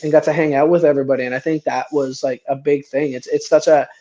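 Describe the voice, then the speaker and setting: A man's voice: on the high side, steady tone, fast talker, mellow, muffled recording. A man in his forties from Canada, talking casually as a band member guest in a radio interview, rambling with many restarts and easy laughs. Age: 40s.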